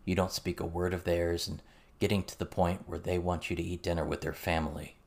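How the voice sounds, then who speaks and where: A man voicing a calm, weary soldier who speaks in a measured, grave, matter-of-fact way. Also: sits low. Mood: reflective.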